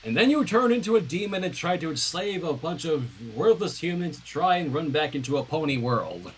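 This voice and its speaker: High, husky-voiced man reading aloud. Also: big pitch swings, brisk, poor audio. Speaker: fan fiction reader on a livestream. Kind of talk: animated read-aloud narration with casual asides.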